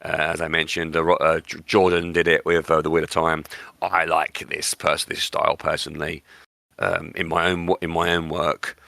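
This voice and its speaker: Deep-voiced man giving emphatic book opinions. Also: little pitch movement, normal speed, gravelly, pushed and bright, no echo, clean studio sound. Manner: emphatic, repeats words for stress. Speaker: podcast host.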